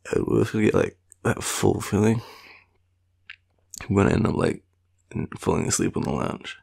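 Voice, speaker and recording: Deep, gravelly, sleepy Australian man. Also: huge pitch swings, a little mellow. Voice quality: low, gravelly, husky. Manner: sleepy, playful, teasing, halting. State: tired. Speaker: boyfriend roleplay audio performer. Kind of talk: intimate boyfriend roleplay, casual sleepy rambling.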